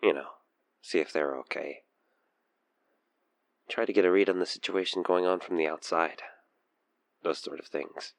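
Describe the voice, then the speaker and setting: A man playing an obsessed, manipulative killer who coaxes the listener in an intimate audio roleplay, with halting, breathy delivery that slips into whispers. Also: deep voice, fairly even intonation, no echo. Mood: smug, taunting. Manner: hesitant, halting, breathy sighs and whispers sliding into menace. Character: obsessed male killer, coaxing and manipulative, feigning frightened innocence.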